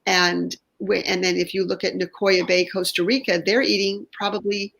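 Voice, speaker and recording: A woman's voice: mid-range voice, little pitch movement, moderate pace, vocal fry, a bit bright. A woman hosting an online interview show, chatty and enthusiastic, restarting her sentences often. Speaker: show host.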